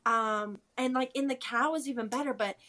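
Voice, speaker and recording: A woman's voice: a little high, normal speed, pushed and bright. A woman co-hosting a podcast, recapping in a casual, slangy, emphatic way. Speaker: podcast co-host.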